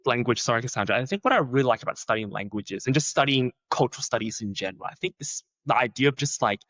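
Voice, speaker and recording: A young man who is a researcher, talking in a chatty, animated way and filling his sentences with "like.". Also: fast, no echo. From Australia. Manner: chatty, many "like" fillers, lively swings in pitch. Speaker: researcher, podcast guest. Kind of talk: casual conversational interview.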